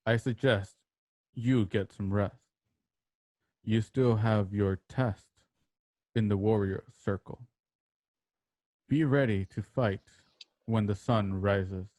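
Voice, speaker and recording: A man acts out a stern warrior mentor in a radio drama. His voice sits fairly low and moves very slowly, with solemn, terse phrasing. The sound is close and dry. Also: darkish tone, no room sound. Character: stern, stoic male warrior mentor and fighter.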